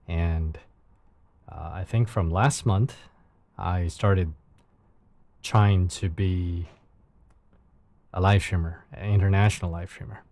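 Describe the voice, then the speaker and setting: A man from China with a Chinese accent, a Mandarin speaker talking in English, chatting casually and haltingly with viewers on a live stream in a soft, soothing voice. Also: deep voice. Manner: unhurried, halting, with fillers and restarts.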